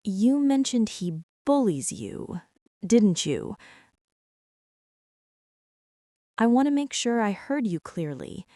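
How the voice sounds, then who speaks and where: Slow, soft, gentle nervous mother played by a woman. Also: a little high, no room sound, clean studio sound. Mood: gentle concern. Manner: tender, hushed, hesitant, reassuring. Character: gentle, nervous mother fussing over a hurt boy. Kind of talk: soft-spoken ASMR roleplay.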